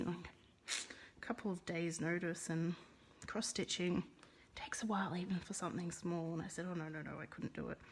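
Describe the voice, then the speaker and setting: A woman's voice: lowish voice, slight background noise. A woman with a Russian accent teaching a live card-making lesson, chatting with viewers by name and rolling her R's. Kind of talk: live-streamed craft lesson.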